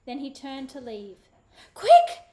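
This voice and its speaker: A woman, a children's author, giving an expressive, animated dramatic reading of her book. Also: on the high side, moderate pace. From Australia.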